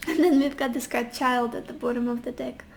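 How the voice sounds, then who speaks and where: A woman giving a casual pick-a-card tarot reading while losing her voice, speaking gently and reassuringly straight to the viewer. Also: a little high, little pitch movement, fairly quick, a little hiss or hum. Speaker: tarot reader.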